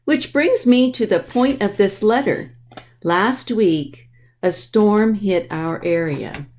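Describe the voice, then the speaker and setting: A woman's voice: mid-range voice, expressive, slow, a little mellow. A woman presenting a children's library storytime, explaining things simply and addressing young listeners directly as she reads aloud. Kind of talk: reading a picture book aloud to children.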